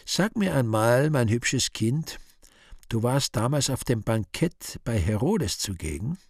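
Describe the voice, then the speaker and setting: An actor playing an urbane, sardonic Devil in a radio play, speaking with deliberate irony and mock courtesy. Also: male voice, medium pitch, big pitch swings, no echo, studio quality. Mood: coaxing. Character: urbane, sardonic Devil interrogating dead sinners. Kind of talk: theatrical radio play monologue.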